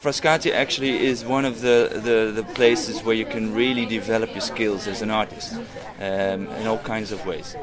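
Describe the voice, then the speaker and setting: A man's voice: fairly low pitch, steady tone, normal speed, few pauses, over music. A man, a performing artist, talking earnestly in a casual interview and repeating words while he searches for them. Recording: crowd chatter behind.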